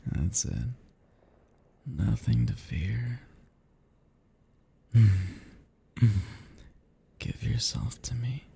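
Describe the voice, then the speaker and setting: Man acting a gravelly, seductive vampire. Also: mid-range voice, mellow, exaggerated voice. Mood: hungry, coaxing. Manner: slow, intimate, breathy murmuring that drops into whispers. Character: seductive, melancholy, possessive male vampire, self-loathing immortal predator. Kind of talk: ASMR roleplay.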